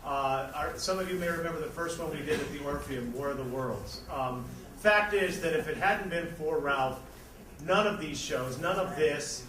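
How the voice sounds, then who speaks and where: A man with an American accent giving an informal, halting thank-you speech as host to a live audience. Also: on the high side, crowd noise. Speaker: theater project organizer and host. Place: indoor venue before a live audience. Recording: echoey venue, distant mic, noisy audience.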